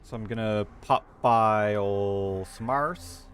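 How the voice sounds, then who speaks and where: A man's voice: on the low side, slow, a bit bright, slight background noise. A man streaming a video game, switching between casual chat and dramatic readings of game lore.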